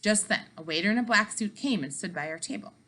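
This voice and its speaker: A woman narrating a children's book aloud with animated, dramatic emphasis. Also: mid-range voice, quick pace. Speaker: read-aloud storyteller.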